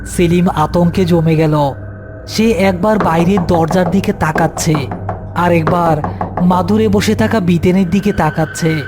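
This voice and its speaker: Male horror narrator, high voice, music underneath. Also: fairly even intonation, unhurried. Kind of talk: scripted horror story narration.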